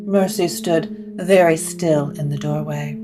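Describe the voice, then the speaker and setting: A woman narrating a scripted gothic story in a measured, literary way. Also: sits low, fairly even intonation, music in the background.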